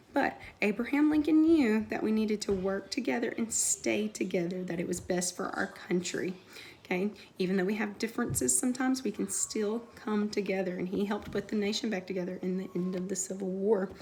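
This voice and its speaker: A woman teaching young pupils through a read-aloud lesson, posing questions and answering them in an instructional way, with a creaky voice. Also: medium pitch, darkish tone, a little hiss or hum. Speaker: elementary school teacher.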